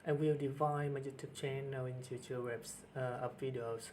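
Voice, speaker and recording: A middle-aged man with a Vietnamese accent, speaking earnestly to camera as a teacher with many pauses and restarts. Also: mid-range voice, steady tone, a little mellow, slight background noise. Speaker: teacher and channel host. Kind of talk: personal talk to camera.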